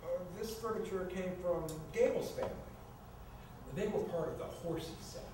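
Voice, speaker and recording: A man's voice: high-pitched, normal speed, natural voice. A man playing a flustered, exasperated adult son in a staged screenplay reading, stammering, defensive and sarcastic. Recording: echoey room, distant mic, noisy.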